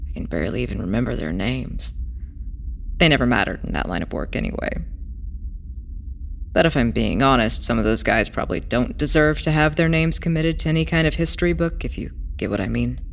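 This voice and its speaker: A woman playing a weary, idealistic former smuggler in an audio drama, telling their past in a hesitant, wistful way with pauses. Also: very deep voice, expressive, creaky, heavily acted. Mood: wistful, bitter. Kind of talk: audio drama acting, halting first-person testimony.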